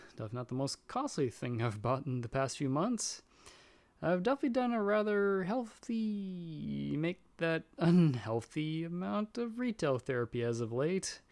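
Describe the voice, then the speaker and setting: A man's voice: a little high, darkish tone. A man playing a nervous, friendly young guy in an audio roleplay, talking in a casual, hesitant, self-deprecating way. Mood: sheepish, hesitant. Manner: chatty, hesitant, sheepish. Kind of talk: casual one-sided roleplay dialogue.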